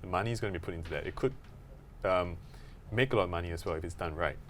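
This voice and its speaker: A man's voice: sits low, rapid-fire, fairly bright tone. A man co-hosting a podcast, thinking aloud in casual discussion, with faint noise in the background. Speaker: podcast co-host.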